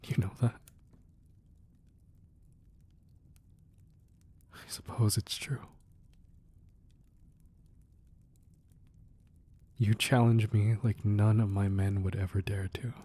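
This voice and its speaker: Low, husky man acting a protective crime boss. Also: little pitch movement. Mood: tender, brooding. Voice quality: soft, husky, breathy. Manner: earnest, measured, firm yet affectionate. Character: protective, ruthless crime boss husband, tender with his wife. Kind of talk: intimate romantic ASMR roleplay acting.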